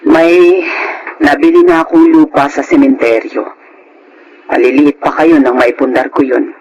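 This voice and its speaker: A woman playing a devout, gently resigned older mother, earnest and sorrowful in a Filipino radio drama. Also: sits low, sing-song, measured pace, dull audio, slight background noise, full character voice.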